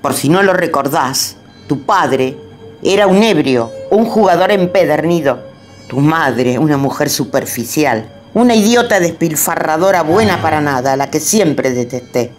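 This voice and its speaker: A woman's voice: sits low, animated, measured pace, soft background music. A woman with a Rioplatense accent playing a haughty, domineering elderly grandmother in a radio play, speaking in a cutting, contemptuous way. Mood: cruel. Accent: Rioplatense Spanish.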